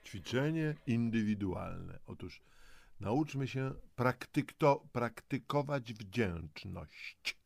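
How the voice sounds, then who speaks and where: Male co-presenter, vocal fry, dry close sound, instructional reading with casual asides. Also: mid-range voice, expressive, slow pace, no room sound. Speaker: co-presenter of a self-help audio program.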